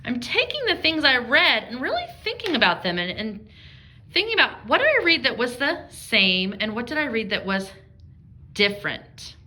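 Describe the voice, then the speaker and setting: A woman's voice: a little high, big pitch swings, moderate pace, sharp tone. Woman teaching young children through a read-aloud. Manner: addresses young children directly, thinking aloud with guiding questions. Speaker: elementary school reading teacher.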